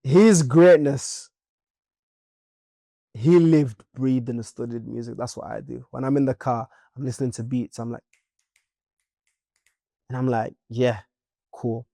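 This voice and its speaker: A young man from Leeds with a Leeds accent, a musician guest chatting in a playful, animated interview. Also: mid-range voice, a little slow, vocal fry, long pauses, dry recording. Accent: Leeds English. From Leeds, England. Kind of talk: casual interview chat.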